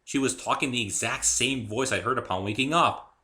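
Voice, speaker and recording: A man's voice: medium pitch, brisk, bright, forceful tone. A man giving a dramatic first-person reading of his own story, theatrical and emphatic.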